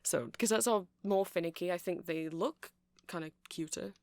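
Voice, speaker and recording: Nerdy vampire shop guy, someone playing him, creaky voice, close and dry, rambly asmr chat. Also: medium pitch, moderate pace, darkish tone, dry recording, natural voice. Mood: helpful, unsure. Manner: hesitant, rambling, self-correcting. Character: chatty nerdy male vampire shopkeeper, rambling and apologetic. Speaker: ASMR roleplay performer. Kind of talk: ASMR roleplay, casual rambling sales chat.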